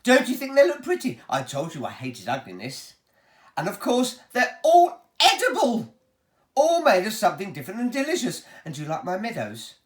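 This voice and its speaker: A woman voicing an eccentric, excitable male chocolate-maker who gushes and shows off in a booming, lively voice, recorded in a roomy space. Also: normal speed. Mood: gushing. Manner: booming, gushing, showy. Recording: roomy echo.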